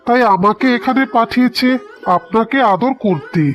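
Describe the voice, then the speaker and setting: A man's voice: very high-pitched, moderate pace, fairly bright tone, soft background music. A man voicing a smug, menacing debt collector in a cartoon, mock-polite and threatening. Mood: smug menace. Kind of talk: cartoon voice acting.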